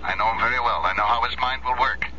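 An actor playing a concerned, principled doctor in a radio drama, formal and insistent, heard over a telephone. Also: male voice, mid-range voice, fairly even intonation, fast, pushed and bright. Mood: grave, warning. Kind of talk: 1970s radio drama acting. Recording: dull, noisy old radio recording, phone call.

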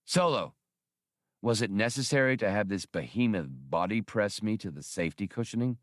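A man's voice: on the low side, expressive, normal speed, no echo, close to an everyday voice. Guy doing a haughty composed space gunfighter, formal dry talk, close dry audiobook sound. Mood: indignant. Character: suave, composed, formal male gunfighter with mustache.

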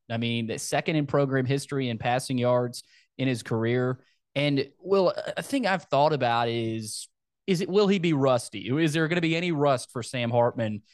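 A man's voice: medium pitch, no room sound, clean studio sound. An American man hosting a sports podcast, talking through a football preview in a casual, conversational way.

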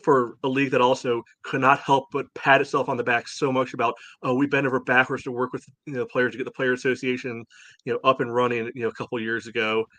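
A man's voice: medium pitch, flat delivery, quick pace, sharp tone, no room sound. A man hosting a soccer podcast, giving blunt, indignant opinions and leaning often on "you know". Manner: frequent "you know" filler. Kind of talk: opinionated sports podcast discussion.

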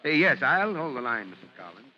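A man's voice: fairly high pitch, sing-song, a little fast, bright, forceful tone, exaggerated voice. A man playing a genial, authoritative middle-aged fire chief in a 1950s radio drama, hearty and decisive. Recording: lo-fi vintage radio recording, faint noise.

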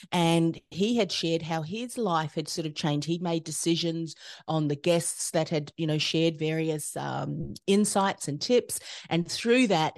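Australian woman, middle-aged, lowish voice, dry close sound, reflective storytelling. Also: no room sound. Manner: reflective storytelling, steady with soft fillers. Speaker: podcast host, interview guest. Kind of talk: casual conversational interview.

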